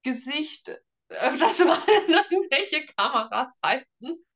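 A woman's voice: high voice, expressive, a little slow, bright, forceful tone. A woman in her thirties from northeastern Germany, chatting informally and a little hesitantly as a guest in an interview. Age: 30s. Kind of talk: casual interview chat.

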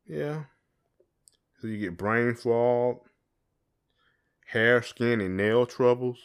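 A man's voice: medium pitch, very slow, clear, resonant tone. A man chatting casually and colloquially as a podcast co-host. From southern United States. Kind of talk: casual chat with spouse.

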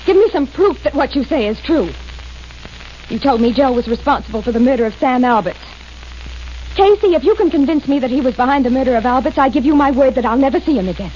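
A coy, scheming young woman with a high voice. Her pitch bounces lively. It sounds like dull vintage radio with faint hiss. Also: quick pace. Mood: indignant.

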